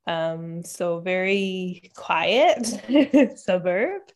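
A woman from Ontario, a podcast guest chatting casually and relaxed, often pausing on "um". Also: fairly low pitch. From Ontario, Canada. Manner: relaxed, many "um" fillers, thinking aloud. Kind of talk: casual interview chat.

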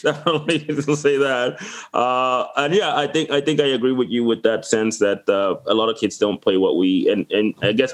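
A man with a fairly low voice hosts a podcast in a casual, rambling way. His questions wander and restart before they land. He grew up in the Dominican Republic. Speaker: podcast host. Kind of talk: casual interview chat.

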